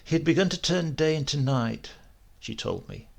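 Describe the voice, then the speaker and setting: A man narrating a literary short story in a measured, descriptive manner. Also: a little high, lively intonation, vocal fry, husky. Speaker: audiobook narrator.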